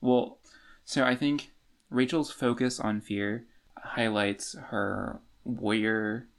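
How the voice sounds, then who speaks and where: A man co-hosting a podcast, talking in a casual, halting, conversational way. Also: on the low side, fairly even intonation, creaky. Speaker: podcast co-host. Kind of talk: casual book discussion.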